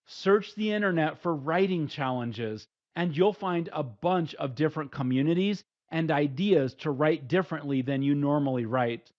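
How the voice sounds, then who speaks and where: A man's voice: a little high, normal speed, few pauses. Middle-aged man, dull lo-fi recording. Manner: steady, plain, numbered advice. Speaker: instructor. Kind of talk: scripted instructional talk.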